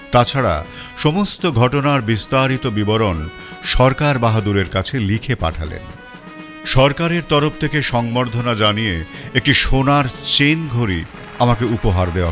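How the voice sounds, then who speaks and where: A man narrating a detective story in the first person, in an expressive, dramatic reading style. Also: medium pitch. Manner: expressive, rising and falling. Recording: faint music bed.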